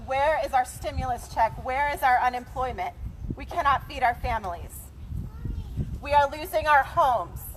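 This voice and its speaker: A woman from North Carolina giving an impassioned, accusatory protest speech through a bullhorn outdoors. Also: high voice. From North Carolina, United States. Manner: insistent, accusatory. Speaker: protest speaker. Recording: noisy outdoor bullhorn recording.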